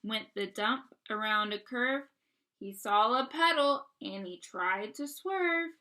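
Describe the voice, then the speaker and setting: A woman reading a picture book aloud to young children, expressively, pausing to ask simple questions and explain. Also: a little high, animated, sharp tone. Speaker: read-aloud storyteller.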